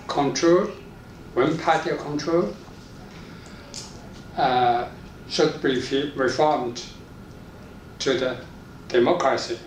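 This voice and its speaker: Slow-speaking Chinese-accented man, halting English. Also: mid-range voice. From China. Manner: halting, fragmented sentences in simple non-native English. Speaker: interviewee. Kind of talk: interview answers. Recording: roomy, distant mic, faint noise.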